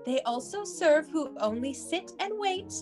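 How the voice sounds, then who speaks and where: Very high, theatrical prim matron played by a woman. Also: lively intonation, unhurried, music in the background. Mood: coaxing. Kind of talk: comedic radio play acting.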